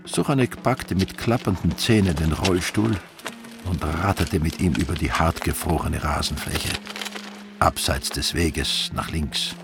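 An actor playing a wry storyteller, reading scripted, literary narration in a comic crime radio drama. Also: male voice, low-pitched, measured pace, gravelly, over music. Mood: wry, suspenseful.

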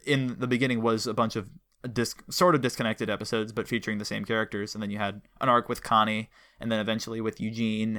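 Guy hosting a casual fan interview, really bright voice, eager and wordy, keeps correcting himself. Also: fairly low pitch. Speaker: host interviewing a guest; audio drama producer and writer. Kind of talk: casual enthusiastic fan conversation.

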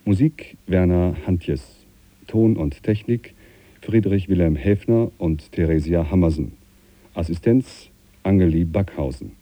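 A man reading radio drama credits as a formal announcer, in a measured manner. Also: low voice, warm tone, no room sound.

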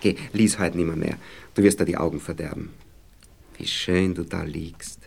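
A man's voice: deep voice, steady tone, normal speed, gravelly, a little mellow, husky, full character voice. An actor playing a smug, moralizing husband in a radio play, with an Austrian accent, talking down to his young wife as he gropes for words. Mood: tender, musing. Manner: patronizing, hesitant, groping for words.

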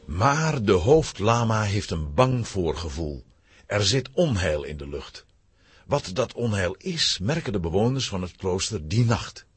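A man narrating a children's adventure audio drama in an animated, suspenseful manner. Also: on the low side, unhurried, slightly rough, dry recording, faint music behind, heavily acted.

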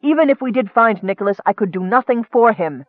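A man plays a tormented male cleric in a fantasy audiobook. He is devout, protective and fearful, pleading earnestly in a high male voice. The recording is dry and muffled. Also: fast, no echo, close to an everyday voice. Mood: desperate. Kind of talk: audiobook character acting.

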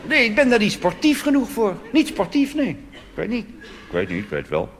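Wry Dutch cabaret comedian on stage. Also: male voice, high-pitched, wildly swooping pitch, a little fast, a bit bright. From Netherlands. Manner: chatty, wry, full of asides and tag questions. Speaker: cabaret performer. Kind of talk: stand-up cabaret monologue. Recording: live stage recording with audience. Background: audience laughter and applause.

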